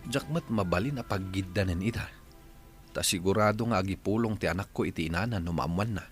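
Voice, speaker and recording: A man's voice: low voice, fairly quick, close to an everyday voice. A man with a Filipino Ilocano accent playing a philandering, evasive husband in a radio comedy drama, sly and easily flustered. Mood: confiding, worried. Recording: music under the voice.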